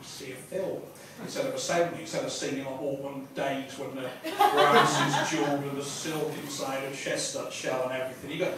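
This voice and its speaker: A man with an English accent doing rambling, sweary stand-up, halting and repeating himself as he digresses in front of a live audience. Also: mid-range voice, fairly even intonation. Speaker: stand-up comedian. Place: small live comedy venue in a pub. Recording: roomy, noisy, distant live club recording. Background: audience laughter and room noise.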